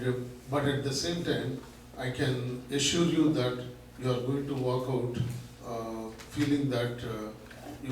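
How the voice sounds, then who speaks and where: A man giving a public talk to an audience, loud and teacherly, explaining with rhetorical questions. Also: medium pitch. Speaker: guest speaker, learning center founder. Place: large echoey room. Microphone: microphone at a distance. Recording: echoey room from far off, faint noise.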